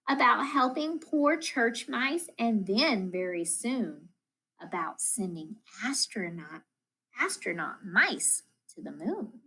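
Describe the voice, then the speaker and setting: Female storybook reader, school-educator read-aloud. Higher register, unhurried pace, rhythmic and expressive delivery of children's verse. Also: gravelly, breathy edge, poor audio. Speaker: school math coach reading aloud.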